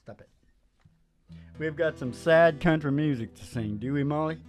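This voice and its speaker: An older man hosting a live show, chatting casually and haltingly between songs in a folksy, joking way. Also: fairly high pitch, faint music behind. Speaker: host and musician of a live radio show. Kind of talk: casual rambling stage chat between songs. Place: small indoor stage with curtain backdrop. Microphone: stage microphone on a stand.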